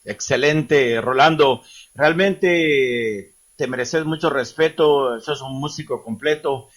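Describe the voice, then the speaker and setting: A man's voice: mid-range voice, slow, fairly bright tone. A man hosting an online language class and interviewing a guest, formal and respectful, with frequent hesitations.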